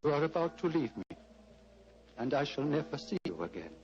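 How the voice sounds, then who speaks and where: Elderly monastery lama, fatherly, formal, wistful, very expressive pitch, muffled vintage radio drama. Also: fairly quick, a little hiss or hum. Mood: wistful, tender. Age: older. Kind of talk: 1940s radio drama acting.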